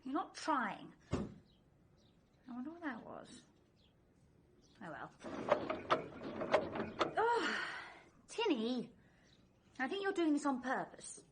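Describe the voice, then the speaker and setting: A woman's voice: high voice, big pitch swings, takes it slowly, long pauses, full character voice. An actress playing a plucky young British woman with a breezy, coaxing, chatty manner in a vintage radio drama. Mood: exasperated. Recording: very noisy vintage radio recording.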